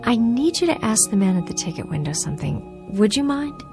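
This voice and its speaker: A woman's voice: mid-range voice, big pitch swings, fairly quick, music in the background. An actress playing an anxious, polite woman traveler in an audio drama, hesitant and stammering.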